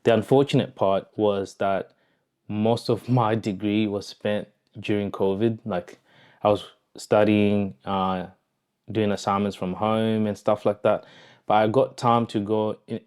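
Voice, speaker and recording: A young Zimbabwean man talks casually to the camera about his own life. His voice is on the low side and stays quite level, with a smooth, resonant sound. He comes across as reflective and conversational. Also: a little mellow. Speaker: vlogger, online entrepreneur. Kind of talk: casual personal storytelling to camera.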